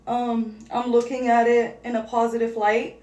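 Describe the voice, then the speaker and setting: A woman's voice: on the high side, level tone, moderate pace, a little mellow, muffled recording. Chatty woman, Arabic native, echoey room. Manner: rambling, searching for words, heavy use of "like". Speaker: vlogger. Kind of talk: casual get-ready-with-me chat.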